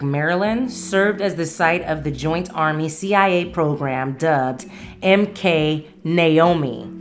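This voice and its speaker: An American woman hosting a solo podcast, speaking in a blunt, emphatic, slangy way. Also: low voice. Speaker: podcast host. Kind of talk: casual solo podcast monologue.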